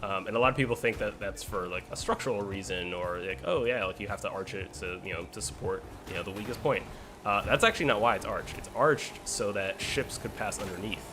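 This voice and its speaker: A man's voice: lowish voice, a little fast, music in the background. A man playing a racing game on a livestream, chatting casually and sharing trivia with hesitant restarts. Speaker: game stream player. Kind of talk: casual gameplay chat.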